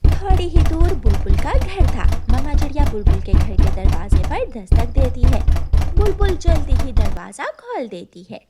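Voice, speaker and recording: High-voiced woman narrating a children's story. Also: big pitch swings, normal speed, loud music behind the voice.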